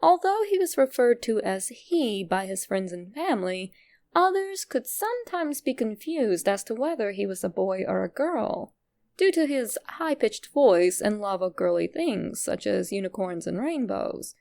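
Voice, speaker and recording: A woman reading a scripted narration, with a creaky edge to her voice. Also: mid-range voice, big pitch swings, a little slow, darkish tone. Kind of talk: scripted narration of a fan theory.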